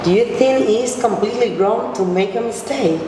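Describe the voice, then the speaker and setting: A woman's voice: a little high, moderate pace, noisy background. Woman teacher cheering her students on, far from the mic in a big echoey room. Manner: encouraging, praising, asks follow-up questions. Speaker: teacher leading a class discussion. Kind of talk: classroom discussion.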